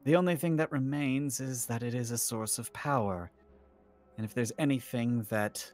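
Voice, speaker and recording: Man, medium pitch, measured formal phrasing, tabletop roleplay, faint music underneath. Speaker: tabletop role-playing game player. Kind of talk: in-character roleplay and table chat.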